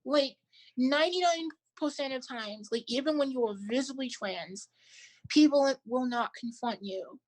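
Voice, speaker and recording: A woman's voice: sits high, moderate pace, poor audio. A woman on a podcast panel talking earnestly in casual chat, leaning on "like" a lot. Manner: earnest, heavy use of "like".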